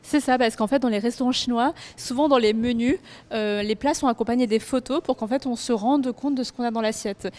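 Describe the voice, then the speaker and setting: A woman from France, a restaurant owner, explaining her concept with frequent pauses and fillers. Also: a little high, a little mellow. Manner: explanatory, many hesitations. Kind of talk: interview answers.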